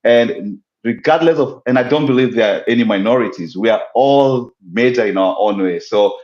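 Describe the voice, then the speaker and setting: A man from Kenya, a guest explaining his ideas in a measured, reflective way over a remote call. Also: mid-range voice, darkish tone. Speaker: guest, business owner. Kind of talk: casual interview talk. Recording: echoey, dull lo-fi audio.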